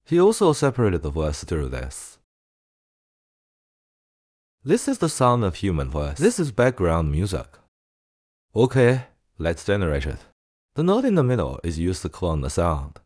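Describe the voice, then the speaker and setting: A man presenting a software tutorial in a casual way. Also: deep voice, huge pitch swings, measured pace, long pauses, no room sound, studio quality.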